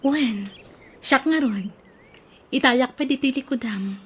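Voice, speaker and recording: A woman acting in an Ilocano audio drama, speaking gently and reassuringly. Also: high voice, slow, a little hiss or hum.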